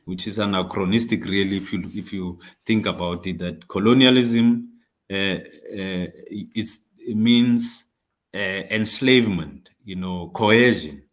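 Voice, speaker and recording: A man from South Africa whose first language is not English, speaking to comrades in a discussion, halting with frequent fillers and restarts. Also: low-pitched. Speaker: speaker on labour politics.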